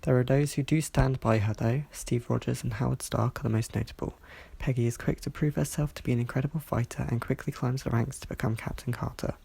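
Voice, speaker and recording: A reviewer reading a scripted review in a measured way, sounding stuffy from hay fever. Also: mid-range voice, steady tone, creaky, warm tone, slightly rough, dry recording. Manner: measured, reads from a script with small slips. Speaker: video reviewer.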